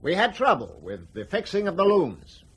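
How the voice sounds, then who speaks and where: A man's voice: sits high, huge pitch swings, husky, exaggerated voice. An actor playing a reassuring, defensive aircraft company spokesman in a radio drama, explaining formally and carefully. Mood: defensive, explanatory. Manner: measured, formal explaining with hedged emphasis.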